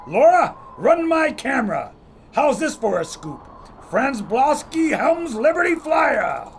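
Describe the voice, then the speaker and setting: An actor playing a brash, excitable showman cameraman with a comic streak in a retro adventure radio drama. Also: very high-pitched, slow, slight background noise, heavily acted. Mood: cocky. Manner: brash, self-promoting.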